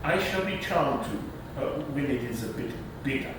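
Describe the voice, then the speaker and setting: A man playing a timid, reluctant, formal uncle with halting speech in a stage readers' theater piece. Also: expressive, close to an everyday voice. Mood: nervous, reluctant. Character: timid, reluctant, proper uncle who dreads babies. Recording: roomy, distant microphone with rumbling noise.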